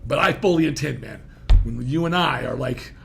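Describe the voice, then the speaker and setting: A man's voice: medium pitch, lively intonation, normal speed, vocal fry, music in the background. A man in his fifties hosting a podcast, talking in a rambling, self-interrupting way and laughing easily. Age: 50s. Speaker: podcast host. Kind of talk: casual interview talk.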